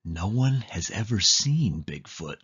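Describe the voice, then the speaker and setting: A young male storybook cat, the knowing older brother, read by a man. He speaks matter-of-factly with lively intonation and a slightly husky tone, in slightly dull sound. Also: fairly low pitch, slow pace, full character voice.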